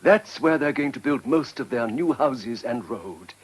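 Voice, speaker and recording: An actor playing a polite, hesitant, anxious village vicar in a period radio drama. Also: male voice, medium pitch, animated, measured pace, slightly rough. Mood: grave, concerned.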